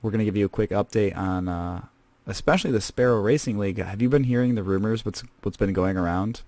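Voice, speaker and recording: A man's voice: on the low side, quick pace, darkish tone, dry recording, lightly acted. A man playing a rambling, casual male scavenger who hosts a comedic in-character podcast. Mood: chatty. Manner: rambling and casual, lots of fillers. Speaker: host.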